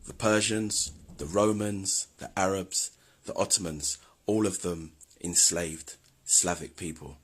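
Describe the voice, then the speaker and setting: Husky, very deep-voiced man. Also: steady tone, slow. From London, England. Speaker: video host. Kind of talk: scripted history commentary.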